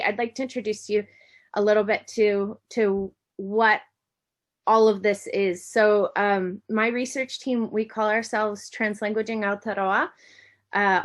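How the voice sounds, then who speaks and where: Friendly American woman lecturer, middle-aged, presenting online with many ums and restarts. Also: medium pitch. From United States. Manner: friendly, with frequent ums and self-corrections. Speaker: university lecturer.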